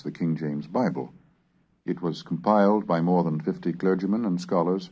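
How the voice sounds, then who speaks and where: A man reading a scripted educational narration in a formal, measured, oratorical manner. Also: low voice, darkish tone, breathy edge. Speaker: lecturer and reader. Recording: dull lo-fi cassette recording.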